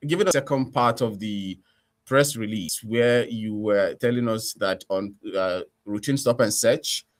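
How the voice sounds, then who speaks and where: A man's voice: lowish voice, measured pace. Nigerian man hosting a radio interview. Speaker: radio host interviewing a guest.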